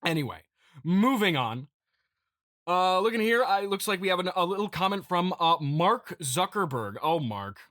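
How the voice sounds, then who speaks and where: A man improvising a comedic monologue as a smug, petty billionaire boss, speaking in a halting, rambling, mock-pompous way. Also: high voice, big pitch swings, fairly bright tone, dry recording, clean studio sound. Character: smug, petty billionaire CEO boasting to investors. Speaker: comedy podcast host.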